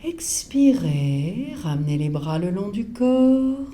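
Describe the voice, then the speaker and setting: A woman guides a relaxation session as a yoga teacher, speaking slowly and calmly in short, spaced instructions. Her voice is mellow, with a lively rise and fall in pitch. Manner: calm, measured, pausing between short instructions.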